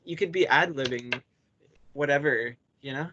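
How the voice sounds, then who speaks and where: A man's voice: medium pitch, moderate pace, pushed and bright, dull audio, a little hiss or hum. A young man co-hosting a casual podcast over a voice call, bantering in a teasing way. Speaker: podcast co-host.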